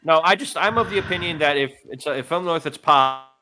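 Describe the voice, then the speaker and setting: A man's voice: fairly high pitch, a little fast, bright, forceful tone, faint music behind. A middle-aged man co-hosting a casual podcast, joking and rambling and laughing often. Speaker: podcast co-host. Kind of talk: casual chat. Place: home streaming setup.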